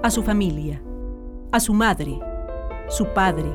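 A woman's voice: mid-range voice, slow, over music. A woman with a Chilean accent reading scripted credits as a radio announcer.

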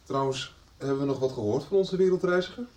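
An actor playing a blunt, teasing young adult son in a family radio soap. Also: male voice, medium pitch, quick pace, darkish tone, far from the mic, natural voice. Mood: eager, teasing. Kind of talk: 1990s radio soap acting.

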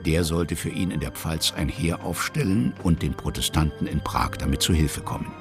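Storyteller: extremely low, gravelly, measured explanatory delivery for a historical children's radio play. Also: male voice, over music. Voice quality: very deep, gravelly. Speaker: narrator.

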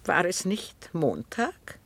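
A woman muses and probes in a literary radio drama. Her delivery is unhurried and deliberate, with a wide lyrical rise and fall. She is calm yet gently insistent. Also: fairly low pitch, exaggerated voice. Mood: calm, probing. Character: calm, musing, probing listener absorbed in knitting.

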